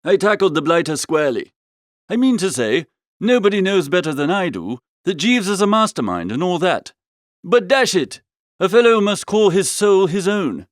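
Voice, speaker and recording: A man's voice: sits high, very animated, moderate pace, no room sound, clean studio sound. A man reading a first-person comic story as an audiobook, with a breezy, theatrical manner. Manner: breezy, theatrical, old-fashioned upper-class idiom. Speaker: audiobook reader.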